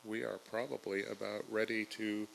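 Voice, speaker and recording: A man's voice: lowish voice, level tone, moderate pace, gravelly, fairly bright tone, slight background noise. A man addressing a public forum as its host, speaking in a large echoing hall. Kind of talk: public forum address, partly read from a letter.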